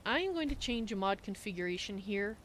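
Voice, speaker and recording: A woman's voice: mid-range voice, expressive, a little fast, a little hiss or hum. A woman doing casual livestream commentary while playing a roleplay game.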